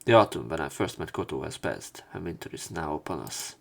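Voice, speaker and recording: A man reading visual novel narration aloud as a let's play commentator, with halting casual asides where he corrects himself. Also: a bass voice, expressive, creaky.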